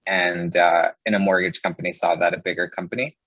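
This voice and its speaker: A man with a low voice tells stories as an interview guest. He is casual and chatty, dropping "like" into his sentences. The sound is dry and a little dull and muffled. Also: level tone, fairly quick, a bit bright, no room sound. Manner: chatty, peppers speech with "like". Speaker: interview guest, mortgage loan originator. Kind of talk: casual conversational storytelling.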